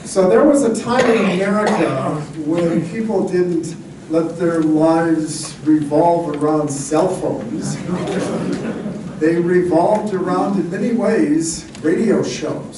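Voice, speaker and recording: A man acting as event host, giving a live welcome speech to an audience. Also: on the high side. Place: theatre event hall, live. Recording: very echoey hall, distant, noisy.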